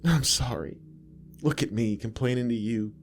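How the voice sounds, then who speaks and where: A man voicing a kind, sad middle-aged woman nurse who talks softly and confidingly. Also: medium pitch, moderate pace, faint music behind, pitched above the performer's own voice, lightly acted. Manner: soft, confiding, conspiratorial.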